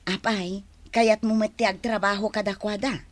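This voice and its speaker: A woman acting in an Ilocano radio drama as a brisk, encouraging village woman with an Ilocano accent. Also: medium pitch, fairly even intonation, close to an everyday voice.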